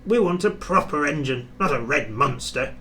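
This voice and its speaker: A man voicing troublesome railway trucks in a children's storybook, shrill, taunting and defiant. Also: lively intonation, moderate pace, fairly bright tone, slight background noise.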